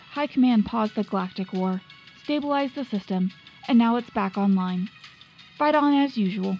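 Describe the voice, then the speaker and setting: Wartime radio tech correspondent, played by a female actor: wry, clipped, deadpan, mid-pitched, lo-fi with music underneath. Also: close to an everyday voice. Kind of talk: scripted radio tech bulletin. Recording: muffled lo-fi radio sound with music behind.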